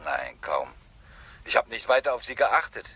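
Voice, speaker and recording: An actor playing an earnest, slightly hesitant witness giving a report in a crime radio play, in muffled sound. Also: male voice, on the low side, big pitch swings, moderate pace, sharp tone, slightly rough, heavily acted. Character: plain, cooperative male witness reporting to detective.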